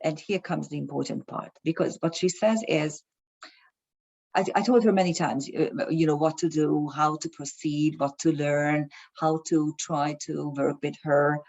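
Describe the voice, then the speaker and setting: A woman speaks in a low, gravelly voice, pausing often with fillers and restarting her sentences. She talks casually to her viewers as an astrologer. The recording sounds a little dull and lo-fi. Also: fairly even intonation, a little mellow. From Germany. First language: Hungarian. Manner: hesitant, many fillers and restarts. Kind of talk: casual talk to camera.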